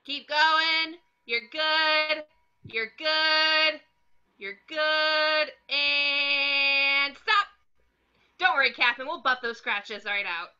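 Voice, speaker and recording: An actor voicing an excitable, naive young male cartoon sea sponge, eager and childlike, in a script reading. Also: sits high, extremely slow, dull audio, exaggerated voice. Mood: cheery.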